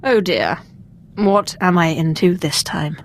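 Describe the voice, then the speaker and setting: A woman's voice: on the low side, slow pace, slight background noise. A woman playing a cool, dry-witted alien Sith apprentice in a video game, with controlled delivery. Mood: cool, wry. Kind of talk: video game cutscene acting.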